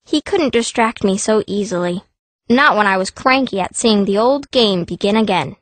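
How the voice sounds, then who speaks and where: A woman's voice: medium pitch, lively intonation, moderate pace, no echo, close to an everyday voice. A woman voicing a fierce, cranky young girl mage, blunt and grumbling, in a first-person fantasy audiobook.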